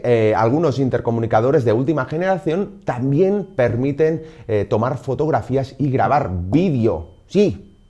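Lively man presenting gear. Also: mid-range voice. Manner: lively, emphatic presenting with frequent "pues" and "eh" fillers. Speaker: product presenter for a motorcycle gear shop. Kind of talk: scripted product explainer to camera. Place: indoor studio set behind a desk.

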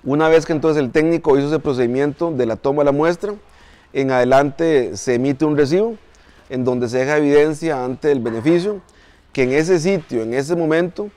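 A man in his forties with a Costa Rican accent, explaining a technical procedure in a measured, methodical way, recorded in a coffee mill warehouse. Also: a little high, dark tone. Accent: Costa Rican Spanish. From Costa Rica. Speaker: coffee institute engineer. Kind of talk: explanatory interview.

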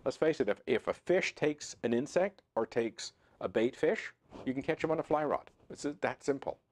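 A man's voice: mid-range voice, normal speed. Older guy at his desk chatting about fly fishing, laid back, lots of ums and restarts. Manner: relaxed, conversational, with frequent fillers and restarts. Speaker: fly fishing channel host. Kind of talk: to-camera instructional talk. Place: home office with computer screens, indoors.

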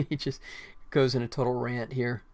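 A man's voice: medium pitch, little pitch movement, moderate pace, a little mellow. A man in his forties giving casual live-stream commentary as he works at game development. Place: small indoor room at a computer. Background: faint music, keyboard typing.

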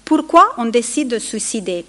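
A woman's voice: fairly high pitch, sing-song, normal speed, bright, forceful tone. A woman in her forties, a palliative care doctor with an Italian accent, giving a conference talk at a podium microphone, hesitating as she searches for words in her second language. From Italy. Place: large conference hall. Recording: long hall reverb.

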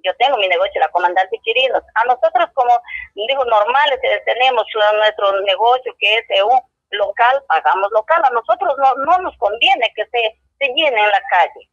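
A woman's voice: fairly high pitch, expressive, normal speed, a bit bright, no echo. Brief: Peruvian Spanish woman shopkeeper, indignant and rambling, dull dry lo-fi recording. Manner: rambling, indignant, with many restarts. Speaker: local shop owner interviewed. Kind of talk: street interview.

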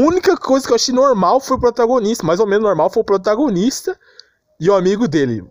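Brazilian dude doing an exasperated anime rant, super slangy, repeats himself, pitch jumps around, dry sound. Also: male voice, a little mellow, no room sound. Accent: Brazilian Portuguese. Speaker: anime commentary YouTuber. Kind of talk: informal ranting commentary.

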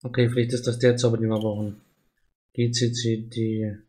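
A man's voice: medium pitch, flat delivery, moderate pace, a little mellow. A man streaming an adventure game, chatting casually and thinking aloud in a musing way. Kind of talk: casual chat while gaming, thinking aloud.

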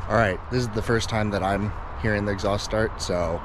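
A man's voice: lowish voice, steady tone, fairly quick. An American man in his thirties explaining things casually as a vlog host, plain-spoken and matter-of-fact. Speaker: car builder and YouTube host. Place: driveway and open home garage. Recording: clear outdoor and garage ambient noise.

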